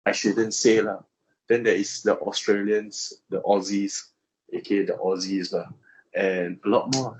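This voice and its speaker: A man's voice: on the low side, a little hiss or hum, rough recording. A young Malaysian man with a Malaysian accent, answering interview questions casually and hesitantly, with many fillers and restarts.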